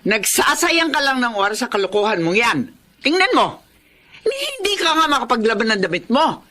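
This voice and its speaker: Bright, nagging Filipino mother. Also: a little high, very animated, moderate pace. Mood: scolding. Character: scolding, exasperated middle-aged mother. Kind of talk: Filipino radio drama acting.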